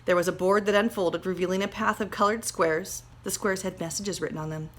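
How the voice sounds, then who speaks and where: A woman teacher reading a children's story aloud with dramatic emphasis, pausing to speak directly to her pupils. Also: medium pitch, steady tone. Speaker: second grade teacher. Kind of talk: expressive storybook read-aloud with teaching asides.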